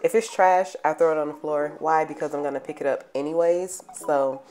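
A woman's voice: low-pitched, steady tone, brisk, darkish tone. A young adult woman, chatting casually and informally for a vlog in a bedroom at home. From United States. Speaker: vlogger.

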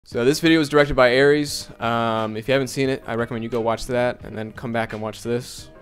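A man's voice: medium pitch, fairly quick, soft background music. A young man whose first language is Finnish, talking casually and jokingly into a close handheld mic in a small bedroom as he walks through how he edited a video. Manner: chatty, jokey, animated. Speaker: video creator. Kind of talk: casual tutorial vlog. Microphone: handheld dynamic mic close up.

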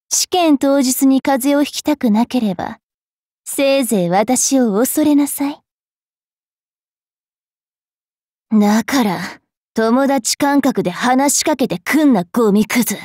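A woman playing a haughty, cruel young fairy priestess in an anime game, sneering and taunting with a rough mouth. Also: on the high side, a little mellow, no room sound, clean studio sound, close to an everyday voice. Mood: menacing scorn.

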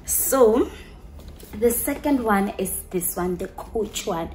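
A woman's voice: mid-range voice, lively intonation, slow pace, warm tone. A young woman chatting to camera, gushing about her perfumes and slipping into local phrases. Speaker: vlogger. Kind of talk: casual chat to camera, showing perfumes.

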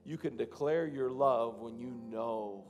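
A man's voice: medium pitch, a little mellow. Pastor guy in his 30s preaching, kinda rambly with lots of restarts, gets fired up praying, faint music behind. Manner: loose, halting, repeats and restarts phrases, rising to fervent prayer. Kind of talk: sermon and congregational prayer. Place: large church stage, indoors.

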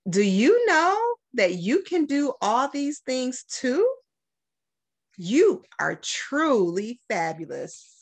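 A middle-aged American woman from Detroit, a school principal, doing an expressive storytime read-aloud with deliberate phrasing. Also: high-pitched. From Detroit, United States. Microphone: webcam at about arm's length.

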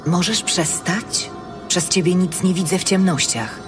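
A woman's voice: on the low side, a little slow, music in the background, lightly acted. An actress playing a tough, sardonic woman ranger in a fantasy audiobook drama. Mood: irritated. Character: tough, sardonic female ranger, hardened warrior.

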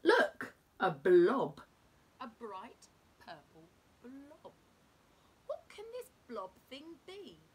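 A middle-aged woman reading a children's picture book aloud in an expressive, dramatic, deliberate manner. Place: indoor room at home. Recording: clear low background rumble noise.

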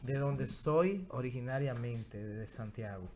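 A man, a guest journalist chatting haltingly with many fillers on a studio mic in a small TV studio. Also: medium pitch, animated, a little mellow. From Dominican Republic. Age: 30s. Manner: hesitant, many fillers and restarts. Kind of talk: casual interview chat. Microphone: studio mic on stand.

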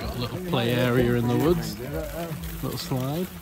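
A man's voice: medium pitch, normal speed. British man vlogging casually, music behind. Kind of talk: casual walkaround vlog chat. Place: outdoor family park, walking in woods.

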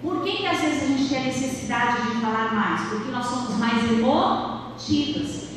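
High-voiced woman doing a marriage talk on stage, big echoey hall, mic picked up from far off. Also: moderate pace, background noise. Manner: lively, playful, acts out little scenes. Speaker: marriage speaker. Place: large dark stage, church hall. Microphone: handheld mic.

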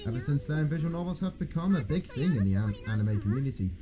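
Lively young man, music behind. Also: mid-range voice, fast talker. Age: young adult. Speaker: host. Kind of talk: casual scripted video discussion.

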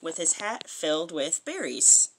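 A woman in her thirties reading a picture book aloud to a young child in an expressive, sing-song way, adding questions and comments. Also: deep voice, a little slow, a little hiss or hum, rough recording. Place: small furnished room indoors.

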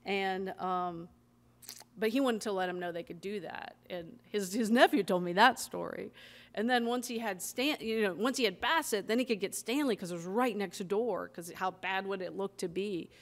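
A woman in her fifties speaks at a library podium, chatty and animated, her words running on with ums and quick restarts. Her voice sits at an ordinary middle pitch and comes through the podium microphone. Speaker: author speaking at a library event. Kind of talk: talk and audience Q&A. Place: library meeting room, at a podium.

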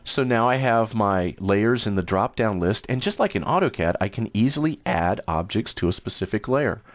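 A man walking through new software features in a steady, explanatory screen-recorded demo. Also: on the low side, normal speed, no echo. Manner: steady, explanatory, first-person demo narration. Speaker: software demonstrator.

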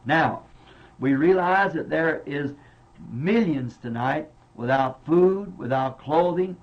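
A man's voice: fairly high pitch, takes it slowly. A man preaching as pastor of his church in a Southern American accent, speaking earnestly and plainly and repeating words for emphasis. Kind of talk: sermon answering congregation questions. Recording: dull lo-fi 1960s tape recording.

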